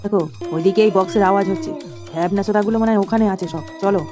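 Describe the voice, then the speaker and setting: Man doing a high-voiced, blustering cartoon village father. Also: steady tone, rapid-fire, natural voice. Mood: indignant. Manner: scolding, blustering. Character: hot-tempered, foul-mouthed village father, threatening and blustering. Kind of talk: comic cartoon dialogue. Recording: music clearly behind the voice.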